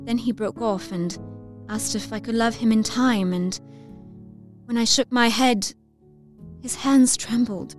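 Woman playing an effusive high-voiced Victorian young lady. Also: a little slow, long pauses, soft background music, lightly acted. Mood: confiding. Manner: effusive, girlish, dramatic. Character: excitable, romantic nineteen-year-old Victorian young lady. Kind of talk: dramatised letter reading.

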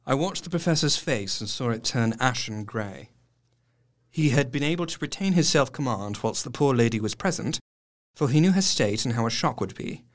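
An actor playing an earnest, educated Victorian doctor, narrating his diary in a formal, measured way for an audio drama. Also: mid-range voice, lively intonation, lightly acted. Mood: grave.

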